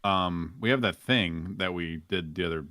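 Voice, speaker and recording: A man's voice: low voice, normal speed, pushed and bright. A man chatting casually and jokingly as a podcast guest.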